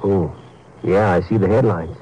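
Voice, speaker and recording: An actor playing a cautious, folksy county sheriff with an American accent, plain-spoken, in a 1950s radio drama. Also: male voice, low voice, normal speed, mellow, a little hiss or hum, natural voice, poor audio. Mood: doubtful. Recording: old 1950s radio broadcast recording.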